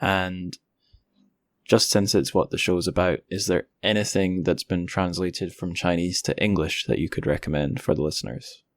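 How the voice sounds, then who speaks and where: A man's voice: low voice, steady tone, moderate pace, no echo. A man hosting a podcast interview in a casual, informal, slightly rambling and self-deprecating way. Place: indoors by a window.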